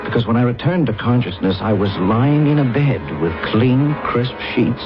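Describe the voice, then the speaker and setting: Actor as solemn, halting alien explorer, muffled radio sound. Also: male voice, mid-range voice, lively intonation, over music, lightly acted. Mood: reflective. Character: earnest, disoriented male alien explorer from another planet. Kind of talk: radio drama acting with first-person narration. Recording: dull vintage radio broadcast recording.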